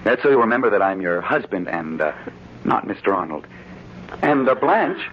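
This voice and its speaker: An actor with an American accent playing a brooding, smooth-talking, scheming husband in a 1940s-style radio drama. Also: male voice, on the low side, very animated, moderate pace. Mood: coaxing. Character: brooding, smooth-talking husband secretly plotting against his wife. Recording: muffled, noisy old radio broadcast recording.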